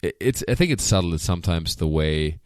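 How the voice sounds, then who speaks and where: A young adult man from Germany with a light German accent, chatting as a podcast guest and telling animated stories with many restarts. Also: deep voice, brisk, no echo, clean studio sound. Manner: animated storytelling with restarts and put-on quotes. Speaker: guest comedian. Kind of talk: casual podcast chat.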